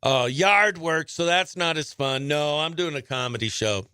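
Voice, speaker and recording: Bright, high-voiced upbeat male radio host. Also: normal speed, smooth, no echo, studio quality. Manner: upbeat, effusive, chatty. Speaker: stand-up comedian. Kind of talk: casual morning radio chat with livestream viewers. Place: radio studio.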